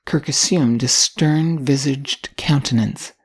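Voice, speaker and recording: A woman's voice: rumbling low, slow pace, husky. An older woman narrating a short story from a script as an audiobook reader.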